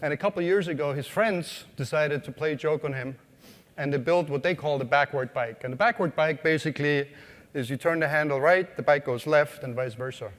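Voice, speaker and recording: A man with an Austrian accent delivers a conference keynote in a slightly high voice. He talks casually, quizzes the audience and fills pauses with ums. A long reverb from the hall hangs on every phrase. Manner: conversational, asks the audience questions, frequent uh fillers. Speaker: conference speaker. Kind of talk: keynote talk to a live audience.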